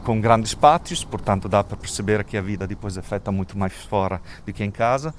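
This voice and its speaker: A man from Italy with an Italian accent, an educator interviewed outdoors in his neighbourhood, speaking haltingly with frequent restarts. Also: lowish voice, a little hiss or hum. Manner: halting, restarts often, thinking aloud. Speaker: community educator. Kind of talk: street interview.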